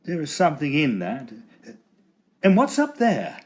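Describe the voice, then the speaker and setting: A man's voice: on the high side, huge pitch swings, unhurried, husky, full character voice. A man playing an eager, inquisitive Edwardian ghost hunter in an audio drama, with theatrical, formal diction. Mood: brisk, curious. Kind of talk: audio drama narration and dialogue.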